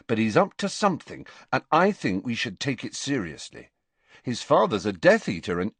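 A man's voice: mid-range voice, very animated, normal speed, fairly bright tone, slightly rough, no room sound, pitched above the performer's own voice, heavily acted. A man voicing an earnest, stubborn teenage boy wizard in an audiobook, insistent and emphatic. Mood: frustrated, urgent.

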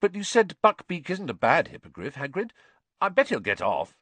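Man playing an awkward, eager, higher-voiced schoolboy. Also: wildly swooping pitch, normal speed, fairly bright tone, no echo, full character voice. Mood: consoling. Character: awkward, well-meaning schoolboy, eager to console.

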